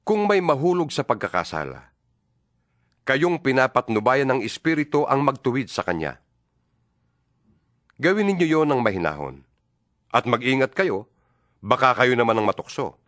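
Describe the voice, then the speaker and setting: A man's voice: mid-range voice, fairly bright tone, no echo, studio quality. A man with a Filipino accent playing an earnest apostle exhorting believers in a Bible audio drama, solemn and emphatic. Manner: solemn, emphatic, preacherly delivery. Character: earnest male apostle exhorting his fellow believers by letter. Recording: music bed behind the voice.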